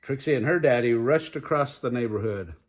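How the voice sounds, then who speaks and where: An older man reads a children's story aloud in a grandfatherly way, pausing to put chatty questions to young listeners. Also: mid-range voice.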